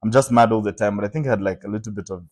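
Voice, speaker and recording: A young man from Zambia, a comedian guest telling stories in a relaxed podcast chat, with lots of fillers and laughter. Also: fairly low pitch, very fast. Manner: storytelling, laughs often, many fillers.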